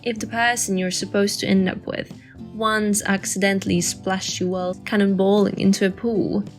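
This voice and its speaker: A woman's voice: medium pitch, little pitch movement, normal speed, close to an everyday voice. A woman acting a playful, thoughtful young woman in an intimate bedtime audio drama. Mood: teasing. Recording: soft guitar music behind the voice.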